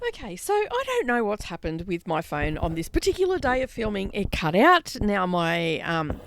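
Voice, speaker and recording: A croaky, stuffed-up woman doing a rambling, chatty voiceover on a microphone for her craft vlog. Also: medium pitch, wildly swooping pitch, moderate pace. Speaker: craft vlogger. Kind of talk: casual voiceover chat.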